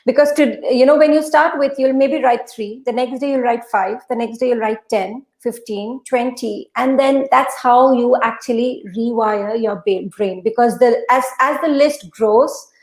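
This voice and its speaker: A woman's voice: on the high side, moderate pace, hardly any pauses, darkish tone. A woman whose native language is Urdu gives conversational motivational advice as a podcast guest, in an emphatic, instructive way that repeats phrases for effect. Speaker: podcast guest, mindset coach. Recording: echoey room.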